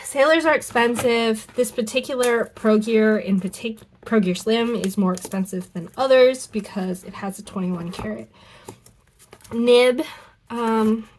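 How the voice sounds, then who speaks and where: A woman's voice: a little high, measured pace, darkish tone, slight background noise. A young woman with a stuffy, nasal voice from a cold, chatting casually to camera in her home studio, rambling and correcting herself as she goes. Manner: chatty, rambling, self-correcting with many ums and likes. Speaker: fountain pen vlogger. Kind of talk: casual unboxing chat to camera.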